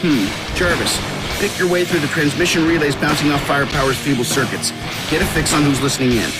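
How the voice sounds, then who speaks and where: A man's voice: on the high side, a little fast, loud music behind the voice, natural voice. A man playing a cocky inventor hero in a video game, with a wry, clipped, commanding delivery. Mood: mocking, commanding. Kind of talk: video game cutscene acting.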